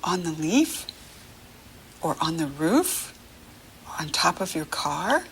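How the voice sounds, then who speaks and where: An American woman teaching young children through a picture book, speaking to the child directly in simple, encouraging phrases with pauses. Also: low-pitched, very animated, a bit bright, a little hiss or hum, rough recording.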